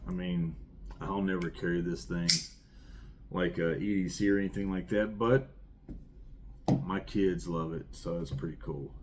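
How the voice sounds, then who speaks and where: A man's voice: sits low, darkish tone, slight background noise. A middle-aged man with a rural Southern American accent, rambling with enthusiasm as he shows off his collection. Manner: enthusiastic, rambling, repeats words. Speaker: knife collection reviewer. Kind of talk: casual show-and-tell.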